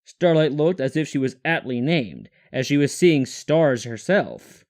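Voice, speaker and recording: A man's voice: medium pitch, lively intonation, measured pace, a little mellow. A man reading scripted story narration as the narrator.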